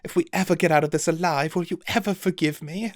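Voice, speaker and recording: A man voicing a frightened young boy who cries out and whimpers in a high voice. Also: steady tone, quick pace, no room sound, studio quality, lightly acted. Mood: whimpering.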